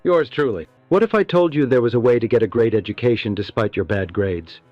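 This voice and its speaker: A man's voice: mid-range voice, a little fast, soft background music, lightly acted. Muffled promo doctor, played by a man, falsely genial. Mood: salesman cheer. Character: genial male doctor pitching a sinister volunteer program. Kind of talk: parody promotional announcement.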